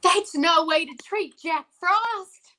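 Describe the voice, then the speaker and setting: A woman's voice: very high voice, takes it slowly, noisy background. A woman playing a droll, theatrical photographer with an arch drawl in old-time radio comedy.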